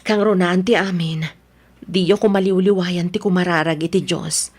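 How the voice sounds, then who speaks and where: A woman's voice: on the low side, fairly even intonation, normal speed, a little mellow. A woman playing a devout, caring middle-aged mother in the province, tenderly counseling in an Ilocano radio drama. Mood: tender, sorrowful.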